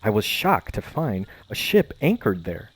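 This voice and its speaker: A man narrating a first-person adventure story in a measured, calm storytelling manner. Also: fairly low pitch, breathy edge. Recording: faint music behind voice.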